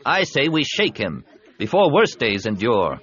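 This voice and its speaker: A man's voice: mid-range voice, wildly swooping pitch, measured pace, fairly bright tone, lo-fi sound, pitched above the performer's own voice. A man voicing an agitated senator in an audiobook, speaking emphatically and urgently. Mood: alarmed, urgent.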